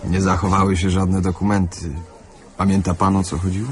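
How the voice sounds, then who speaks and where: Casting: male actor as methodical investigator, courteous and probing, lowish voice, radio drama, faint noise behind. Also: little pitch movement, brisk, lightly acted. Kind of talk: Polish radio play acting.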